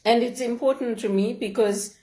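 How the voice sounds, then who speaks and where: A middle-aged woman from Zimbabwe, speaking in an earnest, measured and heartfelt way in a personal reflective monologue. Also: a little high, steady tone, dark tone. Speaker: leadership vlogger.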